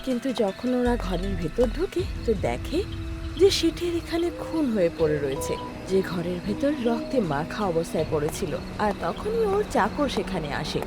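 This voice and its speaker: Highly animated woman narrator. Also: moderate pace, over music. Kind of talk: scripted cartoon story narration.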